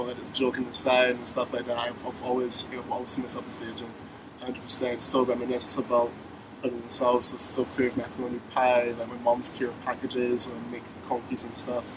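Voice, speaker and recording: A young man with a Barbadian accent, a musician guest in a casual interview, talking in a relaxed, rambling way full of restarts. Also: medium pitch. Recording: distant mic with background noise.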